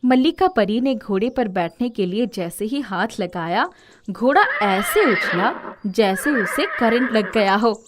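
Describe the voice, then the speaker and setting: A woman's voice: fairly high pitch, expressive, measured pace, a little hiss or hum. A woman storyteller reading scripted fairy-tale narration.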